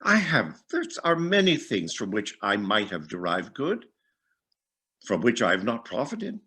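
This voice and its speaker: A man voicing a cheerful, warm-hearted young nephew in a Victorian storybook tale, with an earnest, exclamatory manner. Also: fairly low pitch, sing-song, measured pace, slightly rough, full character voice.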